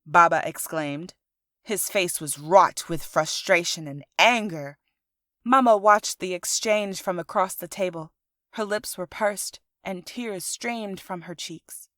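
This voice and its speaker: A woman's voice: fairly low pitch, slow, fairly bright tone, no room sound, studio quality. A woman reading scripted audiobook narration as the storyteller of a fiction podcast.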